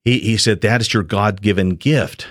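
A middle-aged man speaks with a somewhat low voice, telling his story in an earnest, measured way, pausing and restarting as he goes. The recording is dry and close, with no room sound. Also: fairly bright tone, dry recording. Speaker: shepherd school student, retired police officer. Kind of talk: personal testimony on a radio show.

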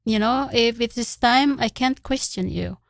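Older lady guest on a radio show, kinda high voice, earnest and laughy, dry mic. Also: unhurried, creaky, no echo. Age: 60s. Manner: earnest, laughs often, faith-filled storytelling. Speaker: charity CEO, guest. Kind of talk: radio interview.

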